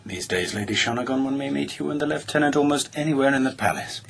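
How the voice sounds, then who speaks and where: An actor playing a haughty, disdainful aristocratic lord in a radio drama, speaking formally and with stiff indignation. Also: male voice, medium pitch, animated, fast talker, creaky, a bit bright. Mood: indignant.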